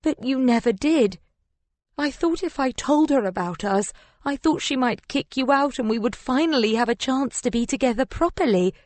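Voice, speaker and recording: A woman's voice: sits high, animated, normal speed, a little mellow, no echo, voice pushed up. A woman voicing a guilty, panicked female lover in an audiobook, pleading in a whining, faltering, stuttering way.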